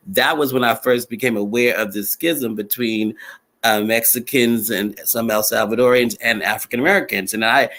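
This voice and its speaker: A man's voice: lowish voice, bright, forceful tone. A middle-aged man from New City, a former radio talk show host chatting as a guest on a live stream. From New York City. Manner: conversational, with frequent affirming murmurs. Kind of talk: casual live-stream conversation.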